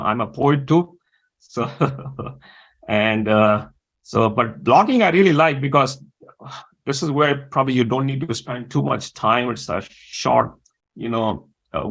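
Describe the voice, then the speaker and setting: Man, Urdu speaker, fry in the voice, hesitant delivery, interview guest. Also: mid-range voice, fairly bright tone. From Pakistan. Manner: halting, many pauses and fillers. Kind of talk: interview conversation.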